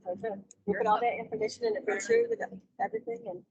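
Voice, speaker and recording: A woman's voice: very high voice, big pitch swings, fast, vocal fry, a little mellow, a little hiss or hum. A woman, a local business owner, chatting casually at a meeting on a muffled recording. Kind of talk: casual chat.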